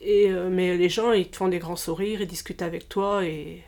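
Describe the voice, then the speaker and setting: A woman in her fifties, originally from Portugal, talks haltingly in a casual interview, with frequent pauses and restarts. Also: fairly low pitch, fairly even intonation, a little mellow. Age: 50s. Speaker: interviewee, childminder.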